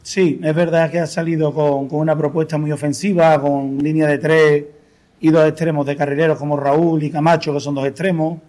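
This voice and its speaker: A man, a football coach, calmly breaking down a match in a post-game interview. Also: fairly high pitch. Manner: measured, analytical, with restarts.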